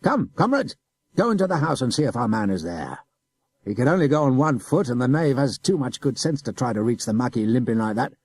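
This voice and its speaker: A blustering middle-aged soldier, loud and pushy, with a raised voice that swings up and down as he bullies and cajoles. A man acts him out in a storybook reading. The voice is husky and mellow, in a dry close sound. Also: mid-range voice, very animated, a little fast, no echo, voice pushed up. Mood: angry threatening. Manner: blustering, chuckling, coaxing. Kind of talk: audiobook character voice.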